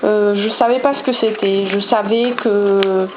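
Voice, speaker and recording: A woman's voice: medium pitch, steady tone, measured pace, lo-fi sound, background noise. Hesitant woman. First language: German. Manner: hesitant, many fillers and restarts. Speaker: vlogger. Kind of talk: casual storytelling to camera.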